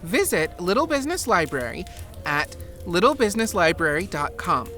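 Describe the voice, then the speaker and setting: A woman's voice: fairly low pitch, very animated, normal speed, a bit bright. A woman playing an upbeat, helpful fantasy shopper in a scripted comic podcast ad, enthusiastic and explanatory. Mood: promotional. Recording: music behind the voice. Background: music.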